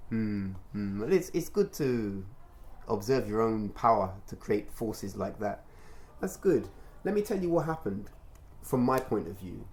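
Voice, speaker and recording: A man talking informally as a mentor, explaining things in a measured, reflective and encouraging way. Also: lowish voice, big pitch swings, a little mellow, a little hiss or hum. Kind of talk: informal testimonial conversation with a child.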